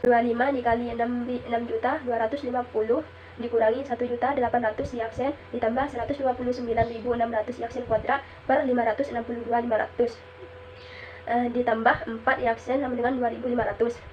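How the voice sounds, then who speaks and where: A young woman from Indonesia, a student presenter, reading out the steps of a math solution in a steady way with frequent "eh" fillers. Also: on the high side, fast talker. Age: young adult. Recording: dull lo-fi recording with faint background noise.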